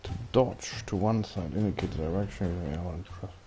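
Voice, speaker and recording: A man's voice: sits low, fairly quick, mellow, a little hiss or hum. A man doing gameplay commentary, reading on-screen tutorial instructions aloud as he plays. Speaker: gaming video host. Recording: game music behind voice. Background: video game music.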